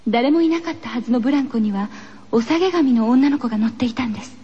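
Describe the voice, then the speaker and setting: A woman's voice: a little high, lively intonation, fast talker, dark tone, slightly rough, voice pushed down. A woman playing an ominous narrator recounting a ghost tale in a scripted horror radio drama. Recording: dull lo-fi radio recording with music.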